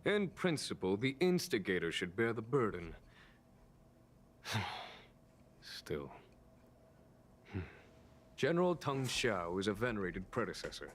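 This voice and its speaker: A man playing a calm, unhurried general in a video game, speaking formally and with measured, wry authority. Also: lowish voice, slight background noise. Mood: musing, resigned. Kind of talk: scripted video game voice acting.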